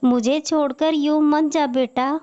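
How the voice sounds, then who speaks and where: A woman's voice: sits high, fairly even intonation, measured pace, mellow, lo-fi sound. A woman voicing a bitter, self-pitying elderly mother-in-law crow in a cartoon, given to scolding and sarcasm. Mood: remorseful pleading. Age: older. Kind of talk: Hindi moral-story cartoon acting.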